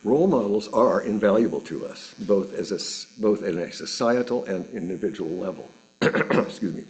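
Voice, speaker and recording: Low-voiced man delivering a sermon, widely varied intonation. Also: lively intonation, moderate pace, a little mellow. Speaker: reader of a sermon at a church service. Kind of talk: sermon read aloud from a script.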